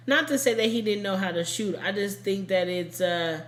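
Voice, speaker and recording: A woman's voice: medium pitch, measured pace. A woman hosting a true crime podcast, telling a story in a casual, informal, emphatic way and repeating points for emphasis. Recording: echoey room, casual podcast recording.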